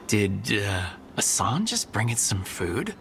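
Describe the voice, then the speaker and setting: An actor playing a good-natured, dryly joking hero in a fantasy video game, with natural delivery. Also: male voice, lowish voice, sing-song, takes it slowly, creaky, background noise, heavily acted. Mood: wry. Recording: game music behind the voice.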